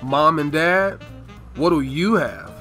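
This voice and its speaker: Man playing a high-pitched, breezy young dad. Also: slow, pushed and bright. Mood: breezy, proud. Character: breezy, boastful young dad hosting Christmas Eve. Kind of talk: radio play acting. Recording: music behind the voice.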